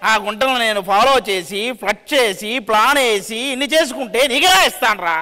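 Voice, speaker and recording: A man's voice: high voice, expressive, brisk. A man with a Telugu accent doing comic banter on a TV stage as a team leader. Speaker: comedian team leader on a TV dance show. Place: TV studio stage. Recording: very loud music behind the voice.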